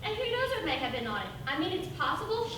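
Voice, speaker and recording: A woman's voice: very high voice, very animated, fast talker. Woman playing melodramatic old witch, echoey stage. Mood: falsely innocent. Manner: melodramatic, mock-genteel. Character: old fairy-tale witch, self-pitying, falsely sweet, secretly vicious. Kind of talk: theatrical stage comedy acting. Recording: distant, very echoey, noisy stage recording.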